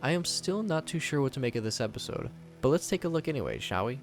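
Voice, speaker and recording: A man's voice: mid-range voice, sing-song, fast, faint music behind. A man hosting a video recap, narrating scripted commentary on horror episodes.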